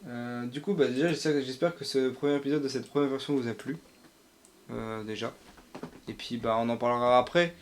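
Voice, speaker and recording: A man with a French accent hosting a casual stream, talking in a relaxed, hesitant way. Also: mid-range voice, brisk, slight background noise. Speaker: streamer host.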